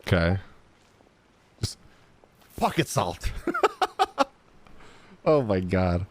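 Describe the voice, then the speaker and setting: A woman's voice: rumbling low, lively intonation, extremely slow, a little mellow. A woman giving casual, slangy, joking commentary as she streams a video game.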